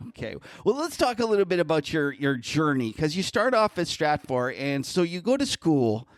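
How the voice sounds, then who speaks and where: A man co-hosting a casual interview podcast, talking in an easygoing, halting way that often restarts sentences. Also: on the high side, big pitch swings, dry recording.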